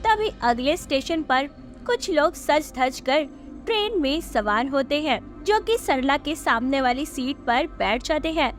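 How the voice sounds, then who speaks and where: A woman narrates a scripted moral story. Her voice is high-pitched, and music is clearly heard behind her. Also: expressive, a little slow.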